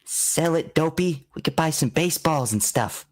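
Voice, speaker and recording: A man's voice: on the high side, lively intonation, normal speed, natural voice. A man acts out a scheming young boy in an old-time radio play. He is bossy with his pals and slippery with grown-ups, talking in brash street slang. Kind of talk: vintage radio drama acting.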